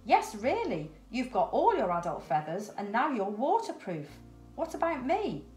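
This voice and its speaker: A woman's voice: a little high, wildly swooping pitch, a little slow, a little mellow, faint music behind, voice pushed up. Young girl penguin for a storybook, confident, friendly, assured, with room echo woman reader. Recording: roomy echo.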